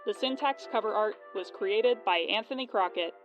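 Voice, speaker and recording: A woman's voice: medium pitch, normal speed, lo-fi sound. A woman podcast host reading scripted promotional narration and credits. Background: music.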